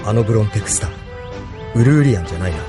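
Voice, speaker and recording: An actor playing a young, decisive, protective mecha pilot leader with urgent delivery in a sci-fi audio drama. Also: male voice, lowish voice, expressive, rapid-fire, over music, natural voice. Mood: urgent, focused.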